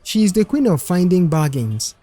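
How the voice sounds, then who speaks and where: Man voicing a weary, chatty middle-aged mechanic, lively pitch. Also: normal speed, no room sound. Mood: proud, weary. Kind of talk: audiobook character dialogue.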